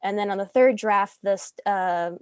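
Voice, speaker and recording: A woman's voice: medium pitch, moderate pace, clean tone, no room sound, clean studio sound. A young woman, a student, casually explaining a process step by step. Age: young adult. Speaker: student story editor.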